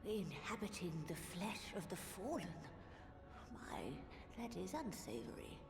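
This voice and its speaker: A poised, faintly wry lady of a fantasy game. Her voice is a little low with a marked creak, the delivery deliberate and understated. It echoes in a roomy space under music. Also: lively intonation. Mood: questioning, wry. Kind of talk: scripted fantasy game voice acting. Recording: echoey hall reverb, game music behind.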